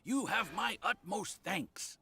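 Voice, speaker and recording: An actor playing a jovial, fussy older landlord in a video game, speaking in an effusive, formal and eagerly polite way. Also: male voice, high-pitched, lively intonation, slow pace, creaky, noisy background, full character voice. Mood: effusive, grateful. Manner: effusive, formal, chuckling speech. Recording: game music over the dialogue.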